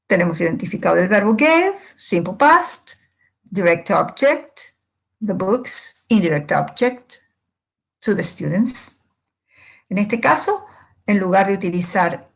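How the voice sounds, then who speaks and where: A woman who is a native Spanish speaker, explaining grammar as a language teacher in a deliberate, methodical, didactic manner. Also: mid-range voice. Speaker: English teacher.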